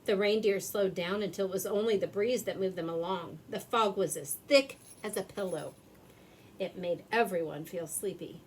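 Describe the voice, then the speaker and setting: A woman's voice: mid-range voice, normal speed, slight background noise, rough recording. A woman narrating a children's storybook expressively, drawing words out for effect. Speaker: storybook reader. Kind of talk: animated children's read-aloud narration.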